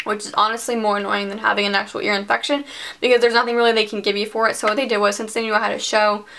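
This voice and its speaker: A woman's voice: medium pitch, level tone, racing, gravelly, fairly bright tone. A teenage girl telling a personal story in a casual vlog, chatty and filling her sentences with "like". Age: teens. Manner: chatty, heavy use of "like". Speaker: vlogger.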